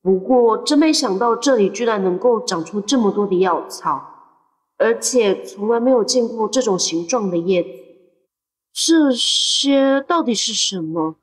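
A woman's voice: a little high, animated, a little slow, breathy edge, rough recording. A woman playing a curious, easily frightened young teenage boy in an audio drama. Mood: pondering, uneasy. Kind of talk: Mandarin audio drama acting.

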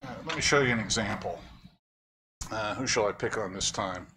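A man's voice: fairly low pitch, normal speed, background noise. A man giving a lecture, explaining ideas conversationally, quizzing audience members and cracking wry jokes. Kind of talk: academic lecture with audience questions. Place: lecture room.

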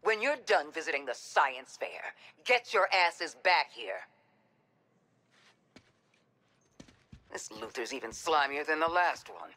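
A woman's voice: low-pitched, lively intonation, normal speed, sharp tone. A woman playing a ruthless, domineering, foul-mouthed commander in a video game cutscene, stern and sardonic. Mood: scornful.

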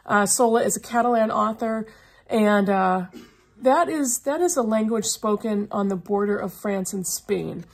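A woman's voice: medium pitch, measured pace, creaky. A middle-aged woman chatting casually to camera as a book vlogger, her voice slightly froggy from a lingering cough. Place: small indoor room lined with bookshelves.

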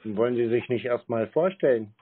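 Man, medium pitch, deadpan, curt answers, stonewalling call recipient, narrow phone-like audio. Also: brisk, husky.

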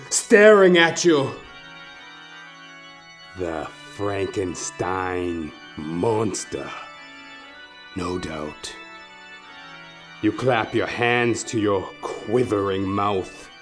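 A man narrating a horror audio play in a theatrical, drawn-out way that moves between hushed and screaming. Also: medium pitch. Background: music.

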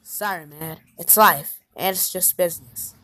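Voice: mid-range voice, unhurried, sharp tone. An amateur voice actor playing a matter-of-fact cartoon ink demon in a homemade animation. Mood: offhand.

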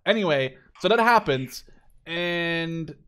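A man's voice: fairly high pitch, animated, slow pace, fairly bright tone, smooth. A man in his twenties giving casual, unscripted gameplay commentary, rambling and swearing freely as he shares his opinions. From Canada. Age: 20s. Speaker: gaming video creator.

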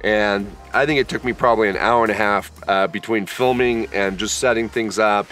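A man in his thirties vlogging at an outdoor campsite, talking in a slow, worn-out, easygoing way after being sick. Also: fairly low pitch, steady tone, fairly bright tone, faint music behind. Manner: laid-back, tired, unhurried with many fillers. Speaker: camping vlogger. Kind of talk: casual camp vlog talk to camera. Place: outdoor pine forest campsite.

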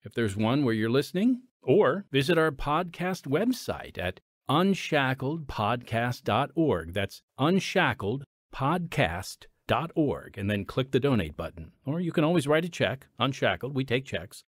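A male host with a lively, animated delivery gives a friendly, persuasive donation appeal. His voice is recorded very dry and close, with no room sound. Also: medium pitch, measured pace, few pauses, no echo.

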